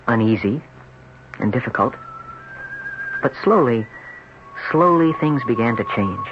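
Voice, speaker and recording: An actor playing a troubled young man who tells his dark story from a hospital bed, with a weary, brooding delivery in 1970s radio drama style, on a dull vintage broadcast recording. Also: mid-range voice, close to an everyday voice. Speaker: lead character, radio drama.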